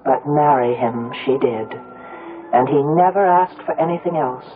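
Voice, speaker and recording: A bereaved, reflective woman recounting her life in 1970s radio drama. Her phrasing is measured and literary. The old broadcast is thin and phone-like, with clear music behind it. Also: rumbling low. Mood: wistful. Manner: measured, literary first-person storytelling. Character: Una, grieving, reflective grown daughter telling her story. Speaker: lead actress and narrator.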